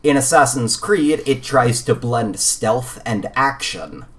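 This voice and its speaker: A man co-hosting a casual gaming podcast, arguing his points haltingly and repeating phrases as he goes. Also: medium pitch, animated. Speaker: podcast co-host.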